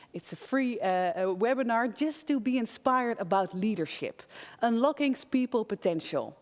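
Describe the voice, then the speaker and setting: A woman's voice: medium pitch, darkish tone. A woman hosting an online event, presenting the program and introducing a speaker to the audience. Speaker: event host. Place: event studio. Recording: reverberant studio hall.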